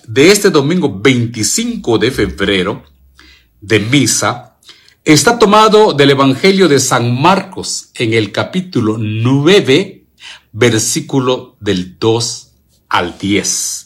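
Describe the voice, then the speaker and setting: A man with a Guatemalan accent hosting a devotional gospel reflection, speaking directly to listeners, repeating phrases and answering his own questions. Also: medium pitch. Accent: Guatemalan Spanish. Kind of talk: devotional gospel reflection to an online and radio audience.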